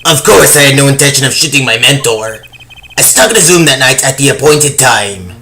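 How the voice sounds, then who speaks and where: A man playing a proud, theatrical stage magician with formal, measured phrasing in a game fandub, with music behind him. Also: mid-range voice, animated, sharp tone. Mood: grave, confessional. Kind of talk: dramatic dialogue in a video game fandub. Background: prominent music.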